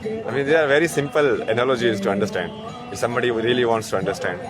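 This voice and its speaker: A man's voice: mid-range voice, animated, quick pace, music in the background. A man talking in a rambling, casual way, with lots of "like" and "no?". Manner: rambling, uses "like" and "no?" a lot, chuckles. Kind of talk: casual monologue.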